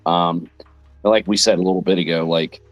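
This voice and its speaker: A hoarse man, a rock singer appearing as a guest, talking in a laid-back, rambling way with many fillers. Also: low-pitched, fairly even intonation, moderate pace, no room sound, lo-fi sound. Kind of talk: casual chat.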